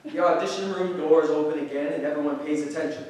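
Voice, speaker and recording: A man's voice: fairly high pitch, fast talker, darkish tone. A man reading stage directions as scripted narration at a staged reading, recorded in an echoey hall. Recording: echoey hall, distant mic.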